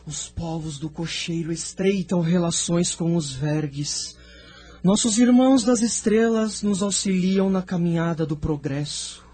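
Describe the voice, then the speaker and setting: A man playing a spirit medium in trance, solemnly recounting visions in a slow, deliberate audio drama delivery. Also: sits high, darkish tone, breathy edge. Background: background music.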